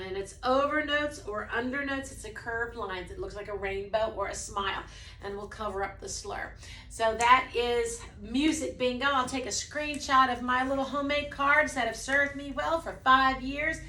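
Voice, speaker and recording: Brief: woman music teacher, high bright voice, casual, room echo. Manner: demonstrates by singing snippets, then speaks casually; says she has a low voice. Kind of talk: explaining a preschool music lesson.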